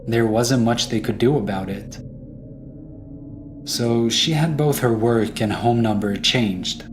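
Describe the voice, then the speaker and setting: A man's voice: medium pitch, slow pace. A man narrating a scripted first-person horror story. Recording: music behind the voice.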